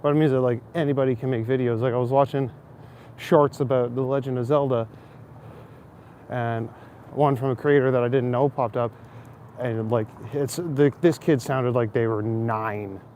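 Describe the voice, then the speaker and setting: A man's voice: mid-range voice, steady tone, normal speed, a little mellow, a little hiss or hum. A man with an Ontario Canadian accent chatting casually with his stream while walking outdoors, rambling and joking with frequent chuckles. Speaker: streamer talking to chat. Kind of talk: casual walking livestream chat. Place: outdoor city square in winter.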